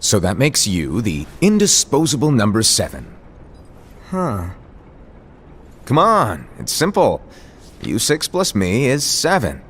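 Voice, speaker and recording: Playful, theatrical game character: very slow, drawn-out teasing, hugely varied pitch, husky tone. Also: male voice, huge pitch swings, heavily acted. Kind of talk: video game cutscene voice acting.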